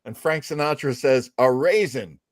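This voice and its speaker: A man with a medium-pitched voice hosts a casual discussion. He explains and retells old comedy bits in an informal way, and the sound is dry and close. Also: moderate pace, no room sound. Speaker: show host. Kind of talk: casual panel chat about old radio comedy.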